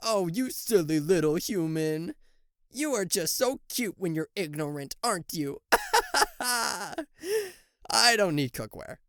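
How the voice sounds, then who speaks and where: A man's voice: high voice, big pitch swings, slow, fairly bright tone, no room sound, clean studio sound, exaggerated voice. A man playing a cocky, sarcastic devil and fallen angel in a roleplay audio, with a theatrical, teasing manner that slips into flustered stammering. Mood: playful, boastful. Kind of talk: roleplay audio acting addressed to the listener.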